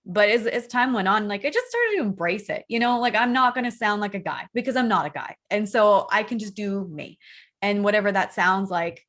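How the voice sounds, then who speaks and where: Female rock singer as interview guest: soft, girly voice, informal and candid, conversational delivery. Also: medium pitch, animated, a little fast. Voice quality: soft, girlish feminine voice.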